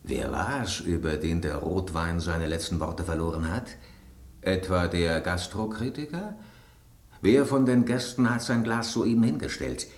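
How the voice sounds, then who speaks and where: Deep, gossipy talking loaf of bread. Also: male voice, expressive, a little slow, echoey room. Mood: suspicious. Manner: inquisitive, brusque, rhetorical questioning. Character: bread on a restaurant table, gruff gossipy male detective type. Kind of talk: German radio drama acting.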